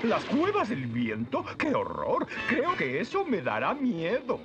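A man's voice: high voice, wildly swooping pitch, measured pace, exaggerated voice. A man with a Latin American accent voicing a cowardly, whiny talking tiger in a cartoon, melodramatic throughout. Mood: fearful. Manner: whining, melodramatic. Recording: dull dub under loud cartoon music.

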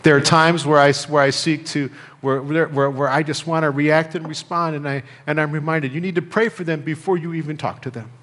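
American pastor preaching in a reverberant hall. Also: male voice, fairly high pitch, steady tone, brisk, few pauses, fairly bright tone. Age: middle-aged. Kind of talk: sermon. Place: church sanctuary, indoors at a lectern.

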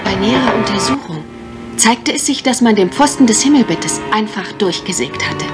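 An actress playing an anxious, genteel young guesthouse owner in a German radio crime drama. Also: female voice, fairly high pitch, animated, normal speed, music in the background, close to an everyday voice. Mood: troubled recounting.

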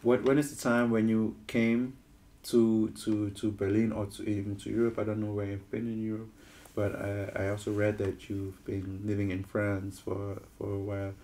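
A man's voice: on the low side, flat delivery, normal speed, few pauses, mellow. A young man hosting a casual interview, often repeating words and restarting his questions. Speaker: interviewer host. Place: living room studio with armchairs.

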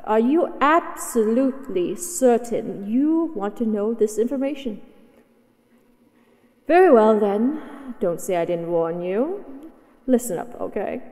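A woman's voice: a little high, darkish tone, in a large hall. A woman voicing a possessive, haughty dragoness in a scripted roleplay audio, speaking with condescending, teasing command. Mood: teasing, ominous, then stern, commanding. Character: possessive, haughty, mighty female dragon captor.

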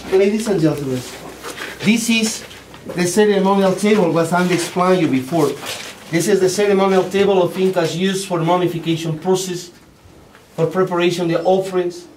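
High-voiced tour guide in an echoey cave. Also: male voice, darkish tone, a little hiss or hum. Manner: halting non-native English, directive and explanatory. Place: inside a rock cave and on an outdoor hillside path. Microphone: tourist's camera at a few steps' distance. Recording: echoey cave recorded from a distance.

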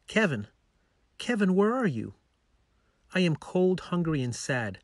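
Man voicing an earnest, polite storybook dog, pitched up. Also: big pitch swings, measured pace, no echo, studio quality, full character voice. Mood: sad, lonely. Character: loving, loyal, playful male pet dog, eager and polite. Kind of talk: children's storybook character voice.

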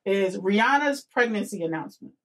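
A woman's voice: medium pitch, lively intonation, fairly quick, fairly bright tone. Informal woman hosting a podcast. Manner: informal, slangy. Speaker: podcast host. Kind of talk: casual podcast interview chat. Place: small podcast studio. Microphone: boom-arm studio microphone with headset.

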